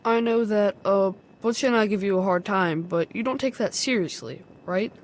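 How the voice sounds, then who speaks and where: A woman's voice: medium pitch, moderate pace, close to an everyday voice. A woman playing a sheepish, polite young boy, hesitant and apologetic, in a video game. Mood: sheepish, awkward.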